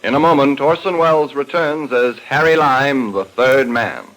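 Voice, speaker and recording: A man's voice: medium pitch, moderate pace, loud music behind the voice, rough recording. A man announcing a radio drama in a formal 1950s broadcast style. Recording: vintage radio recording.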